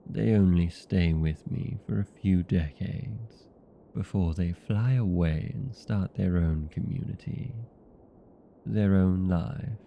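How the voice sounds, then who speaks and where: A man voicing a courteous, proud old vampire in a comedic audio roleplay, speaking formally and unhurriedly with a gravelly voice. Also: low voice, lo-fi sound, slight background noise, exaggerated voice. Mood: reflective, fond.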